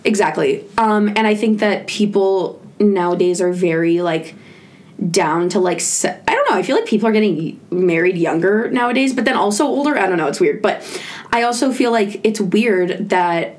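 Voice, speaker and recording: A woman's voice: mid-range voice, expressive, normal speed, darkish tone. Casual young woman podcast host chatting. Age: early 20s. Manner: chatty, informal, heavy use of "like". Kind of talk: casual chat.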